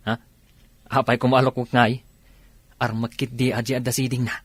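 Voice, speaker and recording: A man's voice: mid-range voice, level tone, a little fast, no room sound, close to an everyday voice. A man acting a cheeky, scheming village cousin in an Ilocano Filipino radio comedy drama, with a bantering, questioning manner.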